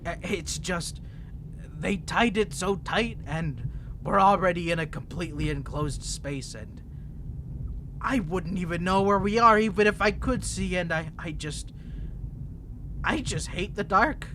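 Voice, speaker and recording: A man's voice: sits high, measured pace, sharp tone, a little hiss or hum, full character voice. A man playing an insane, seductive vampire villain in a roleplay audio drama, speaking straight to the listener in a theatrical, coaxing, condescending way. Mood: nervous, pleading. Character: insane male vampire villain, seductive, menacing, toying with prey.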